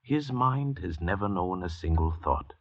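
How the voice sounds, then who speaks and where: An actor playing a suave, arrogant French murderer in an old-time radio drama, speaking in deliberate, pompous oratory. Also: male voice, sits low, expressive, full character voice. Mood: grandly contemptuous. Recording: dull, lo-fi vintage radio recording.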